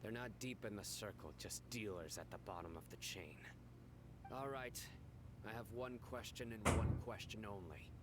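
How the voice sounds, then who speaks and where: A man voices an imposing investigator in a fantasy video game. His low voice is terse, cool and commanding. Clear ambient noise sits behind it. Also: moderate pace, close to an everyday voice.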